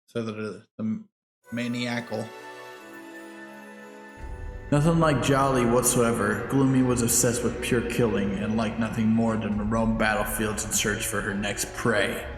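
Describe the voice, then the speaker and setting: A man doing low-key video game playthrough commentary, musing to himself and reading aloud. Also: fairly even intonation, measured pace, music in the background.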